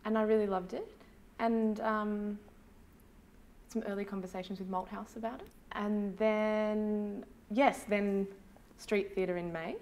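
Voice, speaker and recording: A woman's voice: medium pitch, steady tone, a little slow, a little mellow. Casual woman, faint background noise. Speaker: theatre director being interviewed. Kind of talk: casual interview chat. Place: small room, seated indoors.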